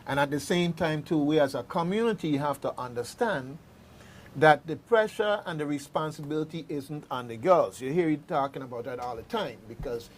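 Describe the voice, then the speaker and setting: A man hosting unscripted talk radio in a halting, rambling way, repeating words as he goes. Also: fairly high pitch, slight background noise. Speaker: radio host.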